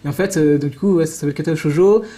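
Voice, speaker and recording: A man's voice: a little high, very fast, dark tone. A man explaining things in casual interview talk, enthusiastic and prone to digressions. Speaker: guest presenting visual novels.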